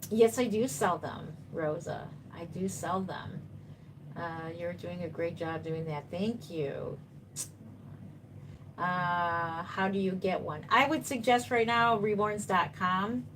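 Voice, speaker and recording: A woman's voice: lowish voice, slow, fairly bright tone, slight background noise. A woman in her fifties, a doll artist chatting casually on a live stream, reading viewer comments and answering them reassuringly while she paints. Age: 50s.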